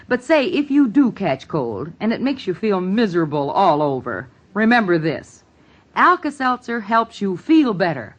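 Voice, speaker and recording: A woman announces a scripted commercial in 1950s radio style. Her medium-pitched voice rises and falls in a very animated way, and the old recording sounds muffled and lo-fi. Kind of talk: 1950s radio advertisement read.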